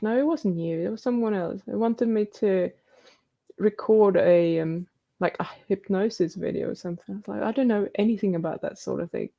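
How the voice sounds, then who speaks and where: A woman's voice: mid-range voice, mellow, muffled recording. A woman hosting a live stream, reading out viewer questions and comments and answering them in a chatty, casual way. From New Zealand.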